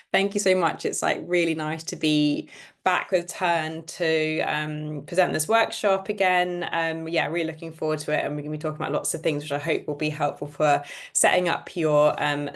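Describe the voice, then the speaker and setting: A low-pitched woman lawyer speaks on a video call. She presents in a friendly, chatty way, with a casual "like" here and there. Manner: friendly, chatty, says "like" often.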